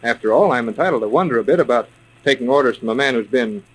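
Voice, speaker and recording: An actor playing an eager, puzzled young detective sidekick in a 1930s radio serial, slangy and inquisitive. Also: male voice, mid-range voice, expressive, fast, husky, low-quality recording. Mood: puzzled, impatient.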